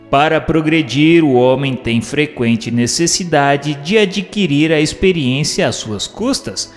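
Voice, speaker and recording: A man's voice: on the high side, big-room sound. A man narrating scripted book commentary in a formal, didactic manner. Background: faint music.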